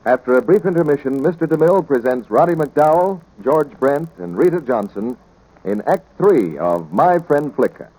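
A man's voice: a little high, lively intonation, normal speed, darkish tone, poor audio. A male radio announcer delivering scripted 1940s announcements and sales patter. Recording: old 1940s radio broadcast.

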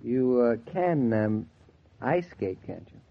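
An actor playing a patient, wry young husband in 1940s radio drama style, bantering dryly. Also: male voice, mid-range voice, very animated, slow, creaky, full character voice. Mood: reassuring. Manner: dry, bantering. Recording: muffled vintage radio recording.

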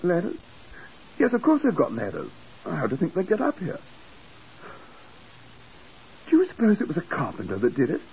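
Flustered, swooping English hotel keeper. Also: male voice, fairly high pitch, wildly swooping pitch, quick pace, a little mellow. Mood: fussy, chatty. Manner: stammering, fussy, hesitant. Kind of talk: 1950s radio drama acting. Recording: muffled, hissy 1950s radio recording.

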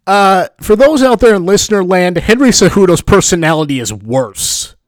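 A man hosting a solo podcast, previewing fights in a chatty, rambling way with a booming voice, often correcting himself. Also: no room sound, clean studio sound. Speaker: podcast host. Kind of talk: solo sports preview commentary.

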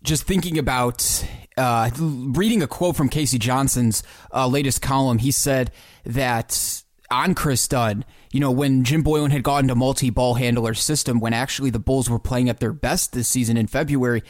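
A man's voice: mid-range voice, fairly even intonation, moderate pace, no room sound, studio quality. A man co-hosting a sports podcast, thinking through basketball scenarios and posing questions in a conversational way.

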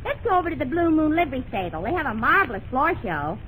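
A woman playing a flirty, sharp-tongued racehorse with pert wisecracks in 1930s radio comedy. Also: high-pitched, big pitch swings, fast. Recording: noisy 1930s radio recording.

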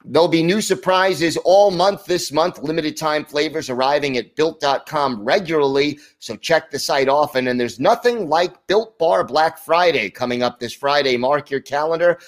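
A man's voice: fairly high pitch, normal speed, few pauses. Man hosting a sports podcast. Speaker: podcast host. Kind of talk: podcast interview and ad read.

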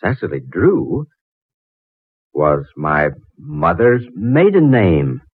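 An excitable, astonished fellow in an old radio drama, his pitch swinging widely. His delivery is urgent and theatrical, with a rough husky edge. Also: slow, no echo, heavily acted. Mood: stunned, urgent. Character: excitable man astonished by a hidden find. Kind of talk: 1940s radio drama acting. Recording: muffled narrow-band 1940s radio recording.

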